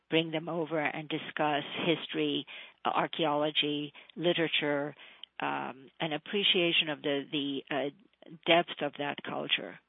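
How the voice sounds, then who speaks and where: Woman, middle-aged, from Hungary, diplomat guest; earnest, measured; dry, phone-line sound. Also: low-pitched, gravelly, no room sound. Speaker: Foreign Service officer. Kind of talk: radio interview guest.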